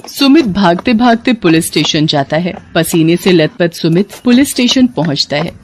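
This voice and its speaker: A woman's voice: lowish voice, lively intonation, moderate pace, darkish tone, a little hiss or hum. A woman giving scripted narration of a moral story.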